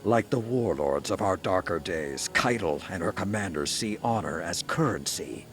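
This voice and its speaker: A battle-hardened old warlord in a sci-fi game. He speaks in a low, grave voice with forceful rhetoric, over quiet music. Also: animated, moderate pace. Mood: grim contempt. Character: stern, hawkish old male warlord veteran commander. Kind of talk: dramatic sci-fi game voice acting.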